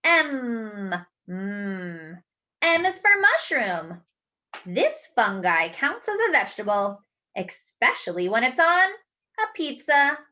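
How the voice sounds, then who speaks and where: A woman with a high, swooping voice reads a picture book to small children. She goes slowly, stressing words carefully and slipping in playful asides. The recording is slightly dull and lo-fi. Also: very animated, pushed and bright. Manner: exaggerated, deliberate enunciation with playful asides to children. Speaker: children's read-aloud host, teacher-like. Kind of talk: animated picture-book read-aloud for young children.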